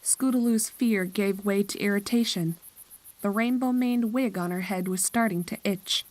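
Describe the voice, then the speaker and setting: A woman's voice: medium pitch, normal speed, a little mellow, dry recording, rough recording. A woman narrator reading a scripted horror story in a storytelling style.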